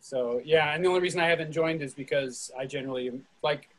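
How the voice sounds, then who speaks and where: A man's voice: fairly high pitch, fast, fairly bright tone. A man hosting an online readers theatre, moderating a casual discussion over a video call. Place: indoors at home on a group video call.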